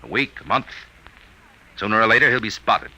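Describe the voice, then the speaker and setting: An actor playing an urgent, persuasive army major with a commanding manner in a vintage radio drama. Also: male voice, on the low side, animated, fairly quick, full character voice. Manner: forceful, commanding. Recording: slightly noisy old radio recording.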